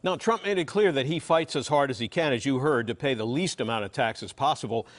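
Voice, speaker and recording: A man's voice: mid-range voice, brisk. An American man working as a television political reporter, delivering a news report. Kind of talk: scripted news report with some live studio talk.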